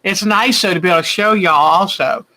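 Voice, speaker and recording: A woman, hosting a quilting bingo game and calling each clue twice, slowly and deliberately. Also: mid-range voice, bright, forceful tone, poor audio. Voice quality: big voice.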